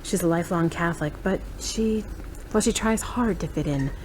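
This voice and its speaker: A woman's voice: on the low side, moderate pace, a little mellow, a little hiss or hum, close to an everyday voice, low-quality recording. A woman playing a frank, caring friend with a conversational, matter-of-fact way of talking, in an audio drama. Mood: reflective. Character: frank, caring woman, tired but gently teasing. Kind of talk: hobby audio drama acting.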